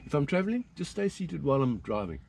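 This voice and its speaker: South African man, safari guide, animated and joking, fairly high voice, noisy open-air recording. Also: fast talker, vocal fry. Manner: chatty, joking, explanatory. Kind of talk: guided tour commentary. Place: open safari vehicle outdoors.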